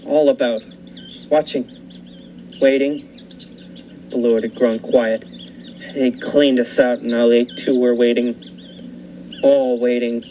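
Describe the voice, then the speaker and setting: A man playing a haunted lighthouse keeper who narrates a radio thriller with dramatic, deliberate pauses. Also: mid-range voice, little pitch movement, lightly acted. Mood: grim, tense. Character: haunted lighthouse keeper recounting his ordeal. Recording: muffled lo-fi recording with faint noise.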